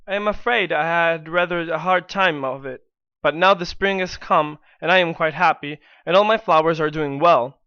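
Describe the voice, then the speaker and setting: Man playing an honest kind-hearted storybook gardener, smooth voice, meek, eager, dry muffled lo-fi sound. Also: on the high side, normal speed, sharp tone, no room sound. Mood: cheerful, humble. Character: honest, kind-hearted, humble, trusting little gardener fellow. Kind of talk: acted reading of a fairy tale.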